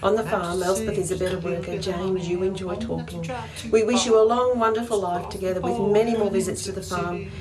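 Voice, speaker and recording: A woman's voice: mid-range voice, fairly even intonation, fairly quick, hardly any pauses, a crowd in the background. A middle-aged woman delivering a prepared wedding message as the mother of the groom. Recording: music over a recorded message.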